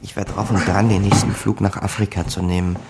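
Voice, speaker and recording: A man's voice: deep voice, little pitch movement, fairly quick. A man acting as a wry small-time crook, narrating his own misadventure dryly in a radio play. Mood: wry, tense. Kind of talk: scripted first-person narration in a crime radio play.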